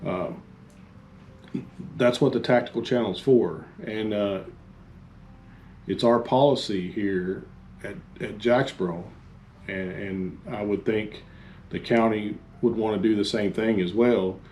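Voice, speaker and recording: A man giving an informal instructional talk as a fire chief, halting and plain-spoken. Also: on the low side, steady tone, a little mellow. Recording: faint background noise.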